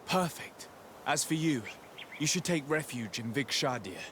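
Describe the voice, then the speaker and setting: An actor playing a resolute noble envoy in a game, composed, formal and authoritative. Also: male voice, medium pitch, animated, a little slow, fairly bright tone, a little hiss or hum. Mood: reassuring, practical.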